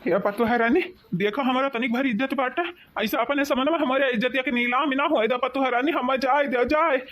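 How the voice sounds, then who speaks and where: Cartoon role: woman voicing an older Awadhi village man, whining and coaxing, pitched high. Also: fairly quick, close to an everyday voice. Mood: pleading. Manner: pleading, repetitive coaxing. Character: whining old village man, father-in-law. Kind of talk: cartoon voice acting.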